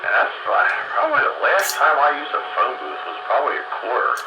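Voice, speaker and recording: An older man chatting casually on the radio, recalling things in a rambling, halting way. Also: medium pitch, huge pitch swings. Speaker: radio show participant. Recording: noisy, muffled, roomy radio transmission.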